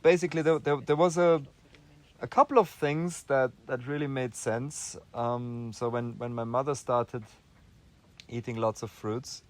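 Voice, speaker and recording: A man's voice: mid-range voice, fairly even intonation, unhurried. Thoughtful young man from Austria. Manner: thoughtful, many restarts and fillers. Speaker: interview guest. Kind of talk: casual interview answers.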